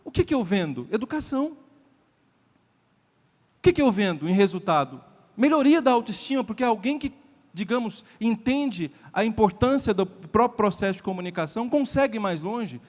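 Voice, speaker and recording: A man's voice: high voice, animated, normal speed, a little mellow. A man with a Brazilian accent giving a motivational lecture at a sales event, emphatic, repeating key lines and putting rhetorical questions to the audience. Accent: Brazilian Portuguese. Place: event hall before a live audience. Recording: reverberant hall sound.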